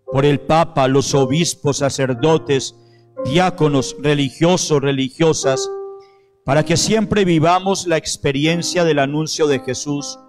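Solemn priest over soft piano. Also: male voice, fairly high pitch. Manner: slow, measured, solemn phrasing. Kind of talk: liturgical prayer and renewal of baptismal promises. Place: church sanctuary, at the altar. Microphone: handheld microphone. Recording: piano music under the voice. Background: soft piano music.

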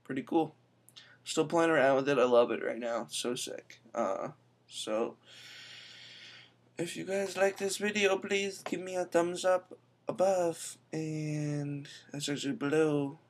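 A man's voice: on the high side, steady tone. Chatty young man on a webcam. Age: young adult. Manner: chatty, quick asides, says "I'm telling you". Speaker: tech vlogger. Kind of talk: casual screen-recorded tutorial. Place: indoor room.

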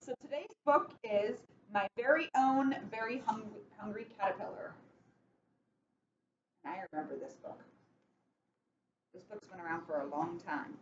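A young adult woman reading a children's story aloud in an expressive, emphatic way, with casual asides. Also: medium pitch, moderate pace, pauses often. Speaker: police officer reading a picture book. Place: indoors in a catering cafe. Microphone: webcam a short distance away. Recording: muffled, noisy webcam room recording.

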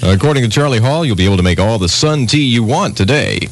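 A man's voice: mid-range voice, lively intonation, fast. A man working as a radio newsman on a 1980s AM morning show, reporting and bantering casually. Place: radio studio.

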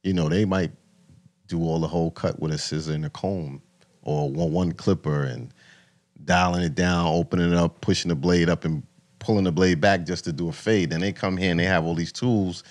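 An American man in his thirties hosts a podcast in a very deep voice. He asks questions and chats casually with his guest, close on a studio mic. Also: fairly even intonation, brisk. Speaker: podcast host. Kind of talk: casual interview chat. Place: small podcast studio with acoustic foam. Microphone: close studio mic on boom arm.

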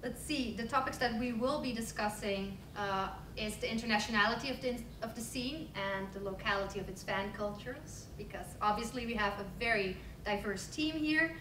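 A woman's voice: on the high side, normal speed, hardly any pauses. A young woman moderating a panel in an upbeat, chatty, informal way. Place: conference room with audience, table microphone. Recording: echoey conference room, distant mic, noisy.

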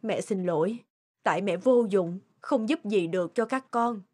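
Gentle, self-sacrificing old mother voiced by a woman. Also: medium pitch, moderate pace, breathy edge, natural voice. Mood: apologetic. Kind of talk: animated morality tale dubbing. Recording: music behind the voice.

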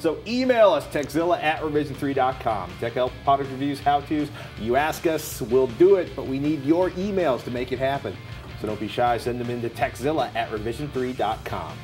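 A middle-aged American man co-hosting a tech show in an informal, conversational way. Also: on the high side. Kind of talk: casual studio presenting and banter. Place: indoor TV studio at a desk. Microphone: lapel mic.

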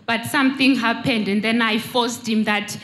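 A woman's voice: fairly high pitch, steady tone, normal speed, fairly bright tone. A woman giving an earnest testimony into a handheld mic in a large church hall. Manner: earnest, confessional. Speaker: congregant.

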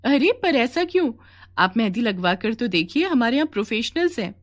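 A woman's voice: fairly high pitch, very animated, normal speed, pitched above the performer's own voice. A woman voicing a pushy, jealous beauty parlour owner in a cartoon story.

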